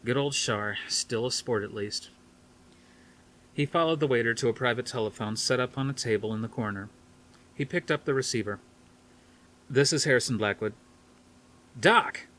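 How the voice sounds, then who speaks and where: A man's voice: mid-range voice, normal speed, fairly bright tone, slight background noise. A man reading a novel aloud as scripted audiobook narration. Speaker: audiobook reader.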